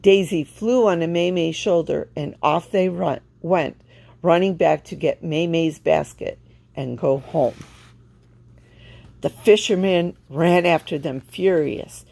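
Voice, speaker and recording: Low, slow, creaky woman storyteller. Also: warm tone. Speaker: children's story reader. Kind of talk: read-aloud storybook narration.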